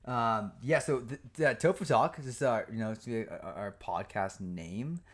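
A young man co-hosting a podcast, playful and teasing, with halting restarts. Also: on the low side. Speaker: podcast co-host. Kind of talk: casual chat.

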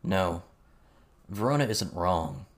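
Man playing a grave, deep-voiced weary soldier. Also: lively intonation. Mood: cautioning. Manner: measured, matter-of-fact. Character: calm, weary male soldier, measured and grave.

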